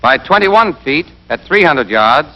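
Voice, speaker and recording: A man playing a brisk, exacting American army rifle instructor in a scripted 1940s training film, drilling and quizzing with emphasis. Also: a little high, big pitch swings, bright, forceful tone, soft background music, exaggerated voice. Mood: firm, emphatic. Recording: dull band-limited 1940s film soundtrack.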